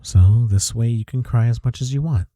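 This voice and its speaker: Man playing a tender, very mellow boyfriend. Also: medium pitch, normal speed, dry recording, natural voice. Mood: soothing, hushed. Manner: tender, reassuring, soft-spoken. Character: devoted, gentle boyfriend comforting his struggling partner. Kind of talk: intimate comfort audio roleplay.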